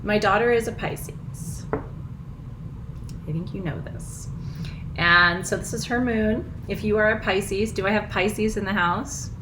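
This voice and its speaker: A middle-aged woman with a froggy, hoarse voice, hosting a live online ritual from her small house in casual, bubbly chat, laughing often. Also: medium pitch, fairly even intonation, slow pace, noisy background. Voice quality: froggy, husky. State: hoarse, nearly lost voice. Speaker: spiritual teacher hosting a live full moon ritual.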